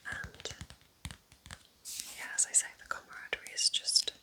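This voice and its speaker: A woman whispering gently and informally in an intimate, unhurried ASMR style, as a host giving personal recommendations.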